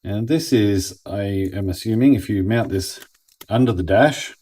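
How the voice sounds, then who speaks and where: Aussie bloke with a low voice doing a casual gear review, laid back, kinda thinking out loud. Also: male voice, measured pace, slight background noise. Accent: Australian. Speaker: product reviewer. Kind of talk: casual unboxing and review commentary.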